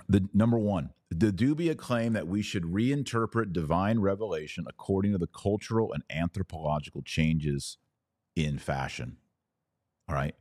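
A man's voice: low-pitched, a little mellow, no echo, clean studio sound. A man hosting a podcast, giving conversational religious commentary in an emphatic, colloquial, explanatory manner. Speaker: podcast host.

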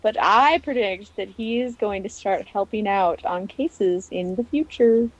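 A woman's voice: medium pitch, measured pace. A woman co-hosting a casual TV recap podcast, recorded with a dry, somewhat muffled sound. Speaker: podcast co-host. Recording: dry, dull lo-fi microphone sound.

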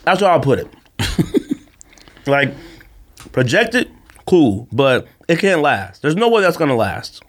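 A man's voice: fairly high pitch, sing-song, takes it slowly, pauses often. A man co-hosting a podcast in a casual sports debate, repeating himself for emphasis. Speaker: podcast co-host.

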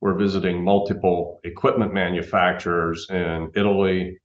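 A man's voice: deep voice, little pitch movement, moderate pace. A middle-aged man explaining things as an interview guest, over a dull, roomy remote connection. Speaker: interview guest, timber framing company manager.

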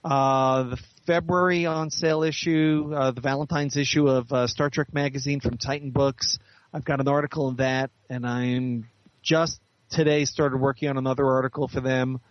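A man's voice: medium pitch, flat delivery, moderate pace, dry recording, lo-fi sound. A man being interviewed as a guest author, chatting casually and explaining things at length.

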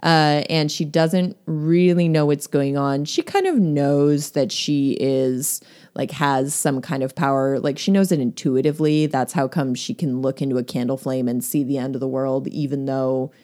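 A woman's voice: rumbling low, fairly even intonation, normal speed, darkish tone. A woman discussing a novel in a casual podcast chat, talking with many fillers and easy laughs. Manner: chatty, lots of fillers and laughs.

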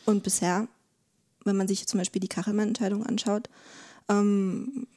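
A woman speaks as an expert guest in a conversational interview. Her voice is mellow and relaxed at a medium pitch, and she explains step by step, pausing to search for the right word. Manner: hesitant, searching for words, explanatory.

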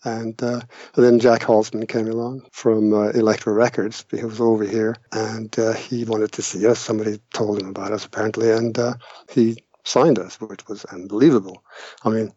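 An older man from Norway recalls his past in a relaxed interview, pausing often with fillers and a wry tone. His voice sits a little low. The sound is dull and dry, like a remote line. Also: no echo. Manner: hesitant, many fillers, wry. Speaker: guest musician. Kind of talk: casual interview storytelling.

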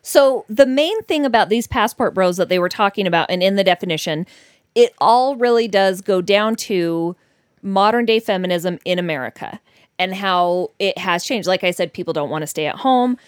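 A woman with a mid-pitched voice hosting a casual podcast conversation. She states her views firmly and often puts in quick agreements. The recording is dry and close. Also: expressive, smooth, dry recording. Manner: firm, frequent agreeing backchannels. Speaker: podcast host. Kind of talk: casual chat.

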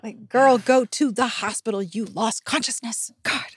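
A woman hosting a podcast, chatting casually about books in an animated, opinionated and informal way. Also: a little high, a little slow, husky, no echo, studio quality. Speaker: podcast host. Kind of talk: casual book discussion.

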